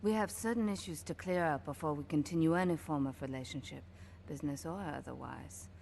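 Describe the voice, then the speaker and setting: Low-voiced, commanding Yakuza boss woman. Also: brisk, creaky, a little mellow, slight background noise. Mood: cool, businesslike. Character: cool, commanding female Yakuza boss, sly and controlled. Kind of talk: video game cutscene acting.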